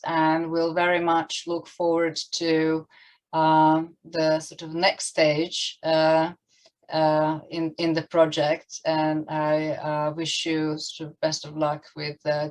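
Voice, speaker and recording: A woman with a low voice hosts an online panel discussion. Her pitch stays very even, and her phrasing is hesitant and halting as she steers the event. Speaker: event moderator.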